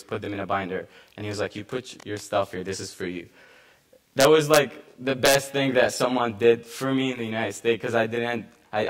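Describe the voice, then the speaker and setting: Earnest young man. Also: lowish voice. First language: Arabic. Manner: earnest, halting with restarts and fillers. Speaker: panel speaker, refugee volunteer. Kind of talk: personal testimony at a conference.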